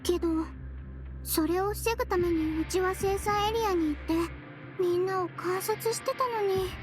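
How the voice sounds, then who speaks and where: A tiny, sweet head nurse speaks in a very high, girlish voice. The manner is gentle and reassuring anime game acting, with music playing behind. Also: normal speed. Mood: pensive. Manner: gentle, reassuring, soft feminine phrasing.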